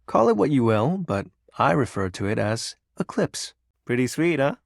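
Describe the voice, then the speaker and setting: Game role: brooding personification of darkness, grandiose yet awkward and wistful, highly animated pitch, dry recording. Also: male voice, normal speed, gravelly, no echo. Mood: fond, grandiose. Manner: theatrical grandiose villain speech slipping into awkward, wistful sincerity. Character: brooding, lonely, melodramatic male personification of darkness. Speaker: voice actor. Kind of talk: dramatic video game character acting.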